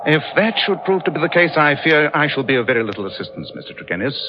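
An actor playing a commanding, keen-witted English detective with a British accent, crisp and theatrical in an old-time radio drama. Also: male voice, a little high, sing-song, fast talker. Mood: measured, inquisitive. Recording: muffled vintage radio recording, faint noise.

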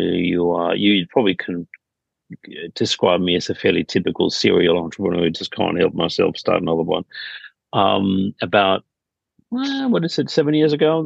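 Gravelly, low-voiced middle-aged man. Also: no room sound, muffled recording. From Denmark. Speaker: podcast guest, business founder. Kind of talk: conversational interview storytelling.

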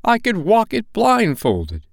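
A man reading aloud voices an old miserly man, pitching his voice far up and drawing the words out. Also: very animated, a little slow, gravelly, smooth, dry recording, clean studio sound, exaggerated voice. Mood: overwhelmed. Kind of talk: audiobook character voice.

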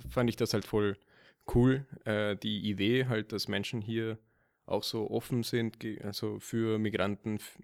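Guatemalan-born young man, lowish voice. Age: 20s. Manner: loose and hesitant, laughs easily. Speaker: student guest. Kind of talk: casual podcast chat.

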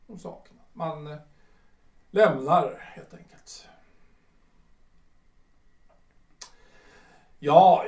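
A man's voice: high-pitched, darkish tone. A man acting a radio drama as a lonely, nostalgic retired official in his sixties, musing hesitantly in broken, repeated phrases. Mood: wistful musing. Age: 60s. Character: reclusive, nostalgic retired civil servant of 62, lonely but resigned. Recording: roomy echo, distant from the mic.

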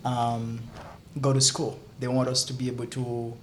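A young man from Haiti, a guest in a casual interview, who talks haltingly and often repeats words while he looks for them. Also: mid-range voice, monotone, slight background noise.

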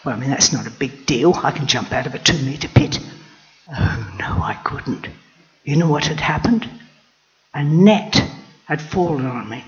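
A woman narrating in character as a proud, wry lioness queen in a storybook tale, heard in a dull lo-fi recording. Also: extremely low pitch. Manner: wry, lively, drawn-out delivery.

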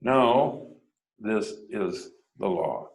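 Man, preacher, very slow, reflective sermon, questioning prompts. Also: fairly low pitch, expressive, vocal fry, rough recording. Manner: thoughtful, prompts listeners with "hmm?" and "huh?".

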